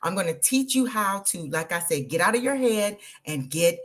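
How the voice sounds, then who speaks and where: A woman, hosting an energetic, motivational live stream and talking straight to her viewers. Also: medium pitch, moderate pace. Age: 50s. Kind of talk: live social media stream.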